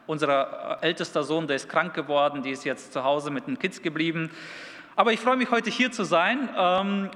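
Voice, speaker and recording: Bright high-voiced man preaching in a reverberant hall. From Kazakhstan. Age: 30s. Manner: conversational with many fillers, then reads aloud slowly and deliberately. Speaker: youth pastor. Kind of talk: sermon. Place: church hall.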